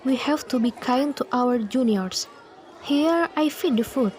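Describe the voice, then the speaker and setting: Slow, high mean schoolgirl, acted by a woman. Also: lively intonation, a little mellow. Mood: taunting. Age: young adult. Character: mean senior schoolgirl bully, falsely sweet.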